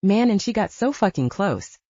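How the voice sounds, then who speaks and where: A woman's voice: medium pitch, sing-song, moderate pace, dark tone, dry recording, highs cut off. A woman voicing a brash, loyal male werewolf soldier in an audiobook, talking in slangy, exasperated appeals. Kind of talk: audiobook character dialogue.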